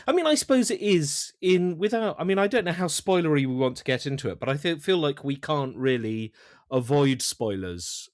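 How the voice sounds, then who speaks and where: A man in a casual interview, recorded dry and close, with a mid-pitched voice. He thinks aloud, doubling back on words in a halting way as he explains his ideas. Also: no echo. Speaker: writer-director, podcast guest.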